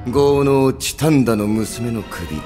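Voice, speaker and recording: A man's voice: medium pitch, expressive, a little slow, mellow, soft background music, natural voice. Lordly, swooping anime villain boss played by a man. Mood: ominous. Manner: grandiose, archaic, commanding. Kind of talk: comedic anime audio drama acting.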